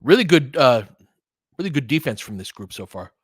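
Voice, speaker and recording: A man's voice: medium pitch, big pitch swings, a little fast, breathy edge, no room sound. Man casually calling basketball, dry close sound. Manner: conversational, informal fan reactions. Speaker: host doing fan play-by-play commentary. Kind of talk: casual live basketball commentary, chatting with listeners.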